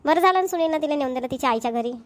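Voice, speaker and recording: Cartoon village sister, middle-aged, female performer, high voice, Vidarbha Marathi accent, talkative, concerned and full of questions. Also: expressive, very fast. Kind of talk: amateur cartoon dialogue.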